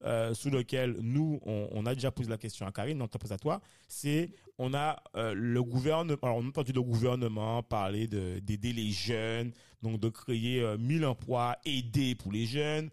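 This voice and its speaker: Guy in his 30s hosting a podcast, mid voice, keeps stumbling and restarting, pretty animated. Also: medium pitch, normal speed, hardly any pauses. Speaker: podcast host. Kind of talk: casual discussion.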